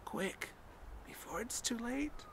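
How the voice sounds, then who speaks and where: A doomed man in mortal terror, acted out by a male reader, hoarse and quivering. He is desperate and urgent, as in a horror tale, with audible noise on the amateur recording. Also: very high voice, animated, slow pace, a bit bright, pitched above the performer's own voice, exaggerated voice. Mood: hushed resignation. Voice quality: hoarse, breathy, quivering, often whispering or screaming. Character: doomed adult man, terrified yet self-sacrificing, calling from below. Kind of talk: dramatic horror reading.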